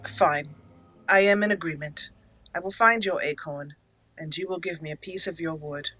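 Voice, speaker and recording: Bright-voiced woman narrating a roleplay playthrough. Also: deep voice, moderate pace, soft background music. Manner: expressive storyteller, acting out lines. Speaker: let's play host and narrator. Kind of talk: roleplay storytelling over gameplay.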